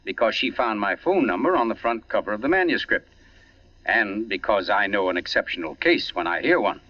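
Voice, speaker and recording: An actor playing a suave, condescending, mysterious author in 1940s radio drama style, unhurried and amused. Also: male voice, fairly low pitch, animated, far from the mic. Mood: smug amusement. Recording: muffled old radio broadcast, off-mic.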